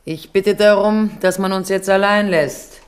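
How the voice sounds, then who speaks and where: An actress plays a scheming royal queen mother in an old radio play. Her voice is smooth and measured, and she sounds persuasive and coolly calculating even when she speaks of peace. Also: medium pitch, lightly acted. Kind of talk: 1970s radio drama acting.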